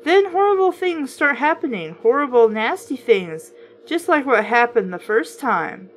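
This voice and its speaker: Girl-next-door type woman reading a kids book, kinda high voice, chatty n skeptical comments, bit of music in the back. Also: sing-song, measured pace, poor audio. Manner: chatty, wry, skeptical asides. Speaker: host reading and reviewing a children's book. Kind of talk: casual read-aloud with offhand commentary.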